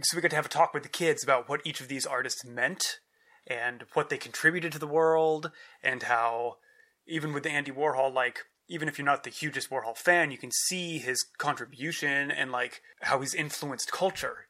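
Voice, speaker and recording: A man with a bright, forceful tone at a middle pitch recaps a family trip in relaxed chat. He eagerly explains the sights to the listener. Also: moderate pace. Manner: enthusiastic, explains things to the listener. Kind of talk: casual travel chat with family.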